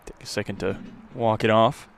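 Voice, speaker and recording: A man's voice: on the low side, fairly even intonation, moderate pace, gravelly. A man calling a baseball game live as a radio play-by-play announcer. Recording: outdoor ballpark crowd and ambient noise. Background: ballpark crowd.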